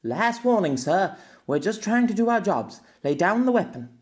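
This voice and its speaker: A high, pleading policewoman, urgent and firm, voiced by a male narrator. Her voice sits far above his own, sounds a little raised in volume, and has a changed, lighter tone. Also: big pitch swings, moderate pace. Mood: stern. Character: firm young policewoman trying to calm a man.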